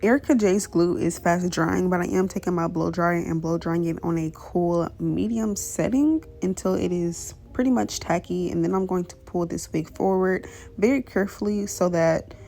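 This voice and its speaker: A young woman with a raspy voice, talking casually through a hair tutorial voice-over, full of "you know" and "pretty much". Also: lowish voice, moderate pace. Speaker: beauty vlogger. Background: soft background music.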